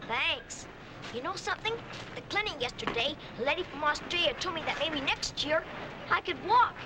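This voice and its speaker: An eager, scrappy little boy in a 1940s film. The voice is very high and swings up and down, with loud outdoor noise behind it on an old soundtrack. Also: lively intonation, moderate pace. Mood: earnest. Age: child. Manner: eager, boyish, plain-spoken. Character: scrappy, eager young boy who loves baseball.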